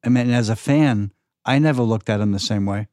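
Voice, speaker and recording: A man's voice: on the low side, fairly quick, a little mellow, no room sound, clean studio sound. An older man chatting casually about sports as a longtime fan and podcast guest.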